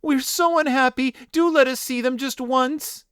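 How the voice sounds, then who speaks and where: A man voicing a pair of young children, begging in high, breathless voices while reading a storybook aloud. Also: wildly swooping pitch, slow, darkish tone, no room sound, clean studio sound, exaggerated voice. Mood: desperate. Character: pleading young children, excited and desperate.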